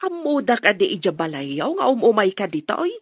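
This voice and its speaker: An actress playing a grateful, motherly older village woman in a radio drama, with an expressive husky voice. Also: mid-range voice, quick pace, no room sound. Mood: fond, grateful.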